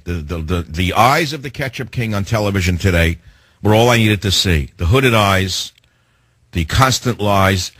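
A man hosting a talk radio show, delivering a sarcastic, indignant monologue with theatrical swings in pitch. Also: a bit bright, husky, dry recording.

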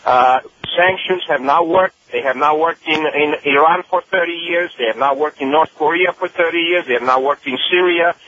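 A man's voice: fairly high pitch, sharp tone, highs cut off, slight background noise. A man from Romania calling into a talk radio show, speaking emphatically and indignantly, with frequent hesitations. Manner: emphatic, indignant, halting with fillers. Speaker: caller. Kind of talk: call-in radio.

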